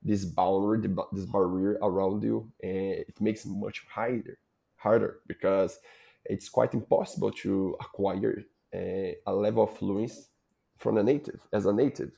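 A Brazilian man speaks English with a Brazilian Portuguese accent. His voice is low and gravelly, and he is hesitant in casual conversation, often repeating words. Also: steady tone, moderate pace, dark tone.

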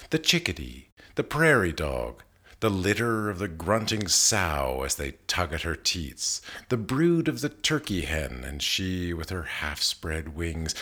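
A man reading poetry aloud in a measured, deliberate manner, pausing between phrases. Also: sits low, fairly bright tone. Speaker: poetry reader. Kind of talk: literary poetry reading, scripted recitation.

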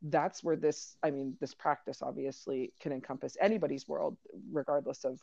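A woman hosts a relaxed mindfulness chat, her voice gravelly and full of fry. She rambles, circles back and restarts her thoughts. The dry, slightly muffled recording sits close to the mic. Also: very deep voice, moderate pace, no room sound. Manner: rambling, many fillers and restarts. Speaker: podcast host, coach to entrepreneurs. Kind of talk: casual conversation on mindfulness.

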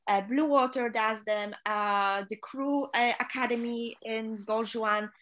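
A woman's voice: on the high side, fairly even intonation, measured pace, a bit bright. A woman from Poland with a Polish accent, a non-native English speaker, giving earnest, direct career advice as an interview guest, with frequent filler sounds. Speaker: chief stewardess, interview guest.

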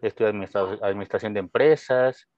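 A young Mexican man with a Mexican Spanish accent and a lowish voice talks about his path as a teacher. He hesitates and restarts often. His sound is dry and muffled, like a lo-fi call. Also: fast, a little mellow, no room sound. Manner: hesitant, many fillers and restarts, friendly. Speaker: guest, French teacher. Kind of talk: casual interview answers.

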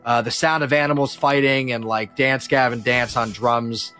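A man's voice: medium pitch, fairly even intonation, moderate pace, sharp tone, smooth, faint music behind. A man chatting casually as a podcast guest, telling stories about his life as a musician in a slangy, rambling way with frequent false starts.

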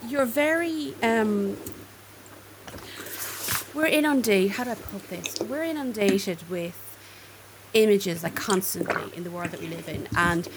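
A woman's voice: medium pitch, measured pace, pauses often, background noise. A woman interviewing an artist, admiring and searching for words as she asks her questions. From Ireland. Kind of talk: conversational interview.

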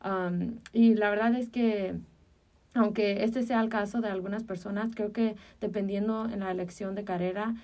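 Distant-miked young woman, mellow tone. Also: mid-range voice, fairly even intonation, normal speed. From Chicago, United States. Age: early 20s. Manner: hesitant, filler-heavy phrasing. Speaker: podcast co-host. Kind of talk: casual podcast discussion. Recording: distant from the microphone.

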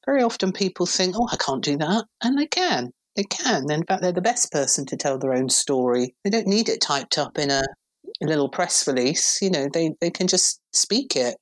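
A woman in her fifties from England with a very deep voice, a PR director talking thoughtfully as a podcast guest. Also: lively intonation, normal speed. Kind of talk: relaxed conversational interview.

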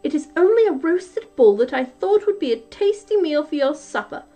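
A woman voicing a giant's dim, bossy wife who speaks briskly and scolds. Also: high-pitched, animated, warm tone, soft background music, pitched above the performer's own voice.

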